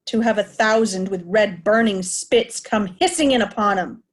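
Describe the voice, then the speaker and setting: A woman's voice: medium pitch, moderate pace, close to an everyday voice. A woman playing a mad, commanding old king in a Shakespeare reading. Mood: mad, imperious. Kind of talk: remote reader's theatre Shakespeare.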